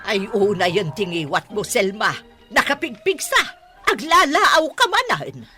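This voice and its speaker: A woman's voice: mid-range voice, sing-song, a little slow, sharp tone, soft background music. A woman playing a stern, moralizing older mother-in-law who scolds and lectures in a radio melodrama, with an Ilocano accent. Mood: scolding. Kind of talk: melodramatic radio drama acting.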